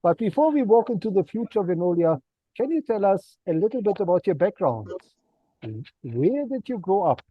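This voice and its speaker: High, lively-voiced male interviewer. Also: normal speed, narrow, tinny audio. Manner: polite, encouraging questioner. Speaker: interview host. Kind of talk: interview questions.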